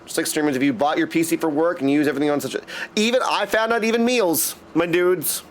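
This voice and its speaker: A man's voice: fairly high pitch, quick pace, a little hiss or hum. A man chatting casually and in a friendly way with viewers as he streams a video game. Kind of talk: casual livestream chat over gameplay. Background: music.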